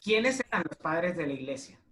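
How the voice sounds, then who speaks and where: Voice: medium pitch, sing-song, normal speed, a bit bright. Interviewer, polite formal questions, roomy echo. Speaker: interviewer host.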